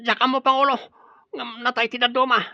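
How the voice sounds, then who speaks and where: A man's voice: extremely high pitch, flat delivery, fairly quick, creaky, bright, forceful tone, no room sound, exaggerated voice. An actor playing a deferential, alarmed henchman reporting to his chief in an Ilocano radio drama, with a strained, urgent delivery. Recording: muffled radio drama, faint music behind.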